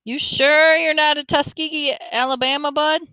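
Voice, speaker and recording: A woman's voice: sits high, steady tone, moderate pace, pushed and bright, dry recording, voice pushed up. A woman voicing a joking older man, a grandfather who teases a boy, in a drawling way. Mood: teasing.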